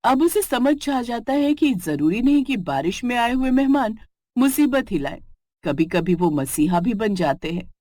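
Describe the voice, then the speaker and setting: A woman's voice: a little high, big pitch swings, measured pace, warm tone, dry recording, studio quality. Woman narrating a cartoon story.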